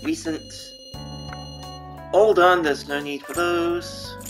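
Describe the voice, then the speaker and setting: A man's voice: a little high, steady tone, takes it slowly. A man giving casual, offhand let's play commentary in short reactions. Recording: game music behind.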